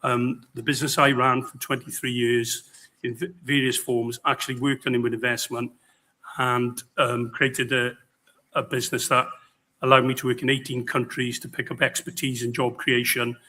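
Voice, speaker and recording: A middle-aged man whose voice is hoarse and somewhat croaky. He speaks in a flat, monotone but bright tone, pausing on fillers and restarting phrases as he addresses a hustings in a public hall. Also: medium pitch. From Pembrokeshire, Wales. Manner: halting, with many fillers and restarts. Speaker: election candidate. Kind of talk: hustings speech.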